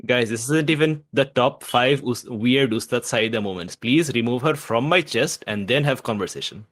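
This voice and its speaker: A man's voice: mid-range voice, moderate pace, a bit bright, close to an everyday voice. Man playing a weary, grumbling crew member. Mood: exasperated.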